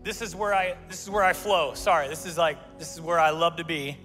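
A man in his forties, a pastor preaching an energetic, joking sermon into a handheld mic on a large church stage. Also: a little high, a little fast. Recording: live sermon in a large hall with faint music.

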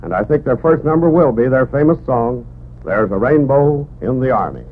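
A male radio announcer of the 1940s speaks formally and with measured phrasing. His middle-pitched voice comes through a thin, narrow-band broadcast recording with faint noise. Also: animated. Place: radio studio.

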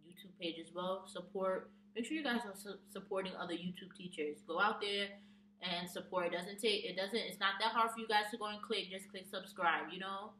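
A woman's voice: medium pitch, a little fast, pushed and bright. A young woman chatting casually in a tag video, reading questions from her phone and answering with plenty of ums. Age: young adult. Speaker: vlogger. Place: small room with a plain wall, indoors. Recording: echoey room, distant mic, faint hum.

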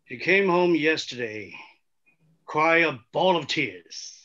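A middle-aged man playing a gruff, awkward, scolding father in a film script table read, with blunt, halting delivery over a video call. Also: a little high, lively intonation, bright, forceful tone, muffled recording, exaggerated voice. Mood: animated. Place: indoor room at home, video call.

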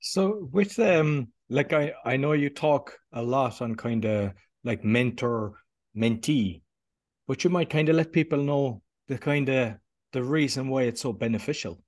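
Podcast host guy on headset, middle pitch, rambly questions w/ lots of kinda. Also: a little slow, darkish tone. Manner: loose, hesitant questions with "kinda" and "like". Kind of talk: podcast interview hosting. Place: home office with bookshelves, indoors. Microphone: headset on video call.

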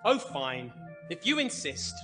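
A woman's voice: low voice, very animated, a little slow, heavily acted. A woman playing a grand, easily exasperated pantomime prince, declaiming melodramatically in a broad theatrical style. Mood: resigned. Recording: echoing theatre sound under loud music.

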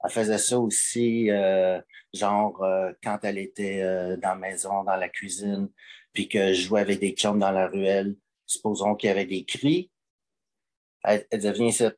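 A man's voice: deep voice, flat delivery, moderate pace, a little mellow. A man, calling in and talking in hesitant, rambling stretches full of fillers. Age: late 40s. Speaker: caller. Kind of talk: call-in conversation.

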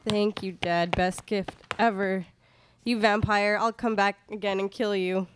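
A woman's voice: medium pitch, steady tone, a little slow, background noise, natural voice. A young woman playing a headstrong daughter out for revenge in a live radio play. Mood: determined. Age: young adult. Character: vengeful, headstrong daughter hunting a vampire. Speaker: student radio play performer. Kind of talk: live radio theatre acting. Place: small classroom studio. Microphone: stand microphone, close.

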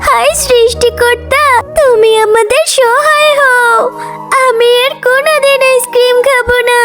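A little girl fox cub in a children's cartoon. The voice is extremely high, thin and childlike, earnest and pleading, with clear music playing behind it. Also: steady tone, a little slow. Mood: tearful, distressed.

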